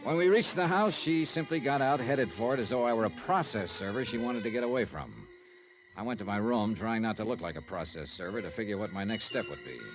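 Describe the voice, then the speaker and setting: An actor playing a shrewd, wry, hardboiled private investigator with an American accent in an old-time radio detective drama. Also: male voice, fairly low pitch, brisk, lightly acted, rough recording. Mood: wry narration. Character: shrewd, sardonic male private investigator narrating his case. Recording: vintage radio recording with music.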